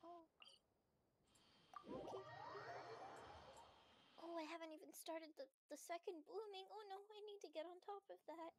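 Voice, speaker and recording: A woman's voice: very high voice, moderate pace, pauses often, a little hiss or hum. A woman with an Australian accent, chatting casually and thinking aloud while she plays a game. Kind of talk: casual chat while gaming.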